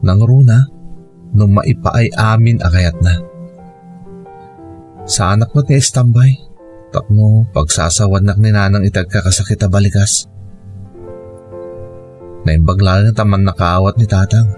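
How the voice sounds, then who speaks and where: A man's voice: lowish voice, unhurried, long pauses, darkish tone, lightly acted. A man with an Ilocano accent acting a dutiful, long-suffering grown son in a radio drama, earnest and plaintive. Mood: hurt, resigned. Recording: music bed under the voice.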